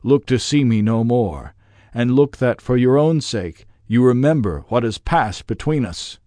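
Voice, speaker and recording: A man voicing a mournful male ghost in chains who speaks gravely and declaims his warnings. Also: medium pitch, expressive, a little slow, dark tone, no room sound, lightly acted. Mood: solemn.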